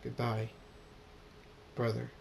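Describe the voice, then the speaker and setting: A man's voice: monotone, takes it slowly, creaky. A man voicing a stern, vengeful winged warrior pony father in a story, grim and commanding. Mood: cold, menacing. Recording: noisy with low rumble.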